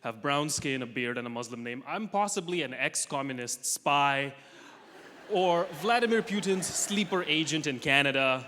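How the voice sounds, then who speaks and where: A young male comedian with a bright, forceful voice and a slightly higher pitch, trading in dry, self-mocking jokes. He was raised in Russia and works a festival stage. His voice rings out on a live recording with a long hall reverb and faint room noise behind it. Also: lively intonation. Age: young adult. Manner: dry, self-mocking punchlines with quick asides. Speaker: stand-up comedian. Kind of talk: stand-up comedy set.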